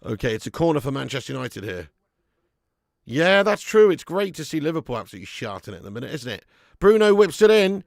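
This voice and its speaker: A man hosting a live fan watch-along of a football match at home, chatting to viewers with sweary banter and bursting into loud cheering at chances. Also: fairly high pitch, very animated, quick pace, gravelly, no room sound, studio quality. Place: at home in front of a camera.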